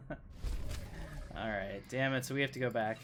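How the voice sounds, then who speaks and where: A man's voice: mid-range voice, takes it slowly, a bit bright, slight background noise. A man giving casual live gameplay commentary as a streamer, chatting and rambling to his viewers as he plays. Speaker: game streamer, host.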